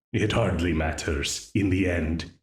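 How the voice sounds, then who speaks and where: Menacing demon voiced by a man for an audio drama: mocking, condescending, slightly low voice, unhurried. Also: a little mellow, echoey room. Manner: mocking, condescending, laughs often. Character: smug ancient male demon dealmaker, menacing. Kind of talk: ASMR audio roleplay.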